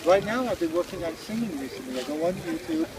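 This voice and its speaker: A man's voice: on the high side, sing-song, fast talker, crowd noise, poor audio. A middle-aged man from Hawaii, a musician chatting casually and philosophically in a rambling way during an interview. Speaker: street musician being interviewed. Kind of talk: casual reflective chat. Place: outdoors by a beach park. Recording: loud outdoor background noise with vehicle sounds.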